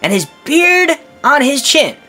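A woman's voice: high voice, very animated, measured pace, sharp tone, full character voice. A woman voicing a kindly troll dad reading a bedtime Christmas poem to children, theatrical and patient. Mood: storytelling. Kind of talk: storybook verse narration for children. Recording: background music bed.

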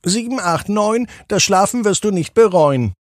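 A man's voice: high voice, lively intonation, measured pace, dry recording, studio quality, voice pushed up. A man voicing a chirpy CD announcer in a children's story, talking in sing-song rhymes. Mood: playful, sing-song.

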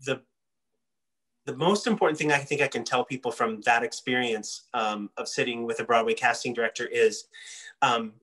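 Bright-voiced man explaining in an interview. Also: medium pitch, normal speed. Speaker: casting director being interviewed.

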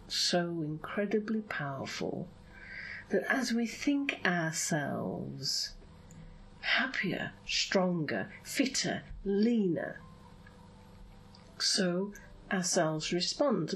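A woman hypnotherapist guiding a visualization in a soothing, drawn-out way, often slipping into a breathy whisper. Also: lowish voice, slight background noise, poor audio. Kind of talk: hypnosis session, guided visualization.

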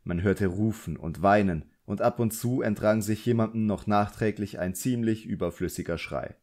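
A man's voice: fairly low pitch, normal speed, a little mellow. A man reading a novel aloud as scripted audiobook narration.

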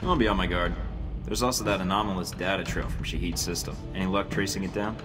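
An actor playing a cocky young secret agent in a video game, terse and dry. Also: male voice, low voice, fairly even intonation, fast talker, music in the background, natural voice. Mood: wry, confident. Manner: dry, curt, understated. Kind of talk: video game cutscene acting.

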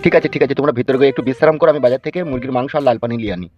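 A man doing a doting, fast-talking village father-in-law. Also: medium pitch, dull audio, faint music behind, close to an everyday voice. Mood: indulgent. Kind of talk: comedy cartoon dialogue.

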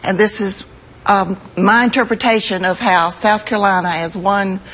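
A woman's voice: medium pitch, steady tone, normal speed, fairly bright tone, slightly rough, low-quality recording. An American woman, an art teacher, speaking as a guest in a live TV interview, earnest and emphatic.

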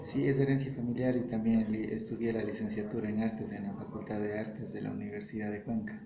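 Calm Ecuadorian man in an echoey room. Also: on the low side, fairly quick, vocal fry, dull audio, crowd noise. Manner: polite, calm, brief answers. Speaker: sculptor, interview guest. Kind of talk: interview answers.

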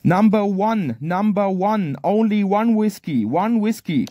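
A man's voice: high voice, expressive, a little slow, lo-fi sound. A man working amateur radio contest exchanges outdoors, repeating short clipped phrases and callsigns in phonetics. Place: outdoors at a garden picnic table.

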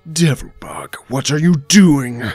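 A man acting a menacing, commanding supervillain in a theatrical comic dub, dramatic and quick to rage. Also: fairly high pitch, wildly swooping pitch, a little mellow, no room sound, faint music behind, heavily acted. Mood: stern. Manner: slow, dramatic, sinister delivery.

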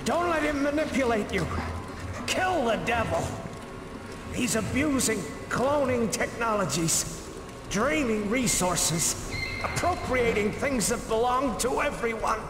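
A man's voice: very high-pitched, expressive, unhurried. A man playing a desperate, righteous rebel commander in a video game, speaking with strained, impassioned urgency. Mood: desperate, urgent. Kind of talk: dramatic video game voice acting. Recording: echoey hall reverb under loud music.

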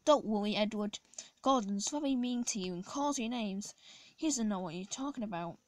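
A hoarse woman reading as a loyal little engine. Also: mid-range voice, expressive, moderate pace, dry recording, close to an everyday voice. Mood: comforting. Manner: comforting. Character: loyal, kind small male engine.

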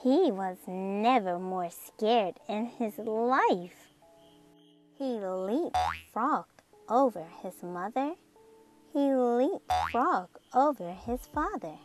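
A woman reading a children's book aloud in an expressive, dramatic storytelling manner. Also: a little high. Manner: expressive, with drawn-out dramatic words and sound effects. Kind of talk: animated read-aloud storytelling. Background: music.

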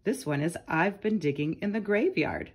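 A woman's voice: fairly low pitch, animated, normal speed, breathy edge. A woman reading children's nursery rhymes aloud from a picture book, speaking to young listeners. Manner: addresses young listeners with questions and short comments.

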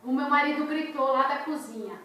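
A woman in her thirties with a Brazilian Portuguese accent, telling a story to her viewers in a lively, expressive way from a small bedroom. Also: sits high, brisk, far from the mic. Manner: animated, expressive storyteller, gestures a lot. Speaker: crochet and crafts channel host. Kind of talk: casual storytelling vlog to viewers. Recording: echoey room, voice off the mic.

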